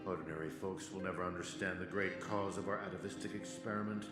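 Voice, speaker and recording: An actor playing a scheming, condescending professor villain who lectures in a theatrical way. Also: male voice, low-pitched, fairly even intonation, quick pace, lightly acted. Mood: smug lecturing. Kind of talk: video game voice acting. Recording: roomy game audio with music.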